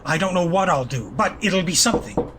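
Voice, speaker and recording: A man acting the part of a desperate, quick-witted fugitive in an audio play, earnest and pleading as he speaks. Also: high-pitched, animated. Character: desperate, quick-witted wanted man protesting his innocence. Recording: faintly noisy home-device recording.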